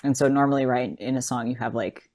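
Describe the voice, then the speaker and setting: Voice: medium pitch, fairly even intonation, quick pace, a little mellow. A podcast co-host talking through ideas in a casual, analytical way, earnest and rambling with lots of "you know" and "like".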